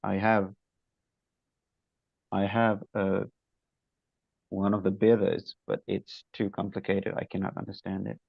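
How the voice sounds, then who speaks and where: A man from Nepal talking on a video call, his voice low, gravelly and husky, in a flat tone. He speaks hesitantly with fillers. The audio is dry and muffled. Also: a little slow, pauses often, no room sound. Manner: hesitant, fillers, soft laughs. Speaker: student. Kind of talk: casual chat with teacher.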